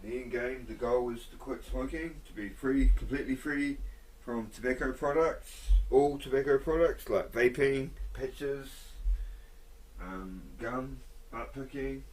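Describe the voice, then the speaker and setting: A man's voice: medium pitch, a little slow. A man chatting casually and hesitantly, often repeating his words as he rambles. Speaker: host of own show. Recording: distant mic, faint background noise.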